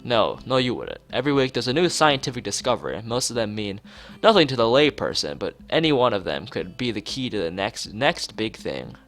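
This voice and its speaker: A man acts out an earnest, absent-minded schoolteacher from a visual novel. His pitch moves about a lot as he circles toward his point with vague questions, and music plays faintly behind him. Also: very animated, a little fast, hardly any pauses, fairly bright tone. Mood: enthusiastic, musing. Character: slightly scatterbrained, earnest male school teacher, vague and rambling.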